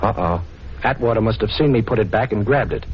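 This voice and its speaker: A man's voice: lowish voice, a little fast, natural voice. A man playing a cocky, wisecracking adventurer accused of theft in a 1940s radio crime drama, glib and sardonic. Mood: urgent, focused. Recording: dull, noisy 1940s radio recording.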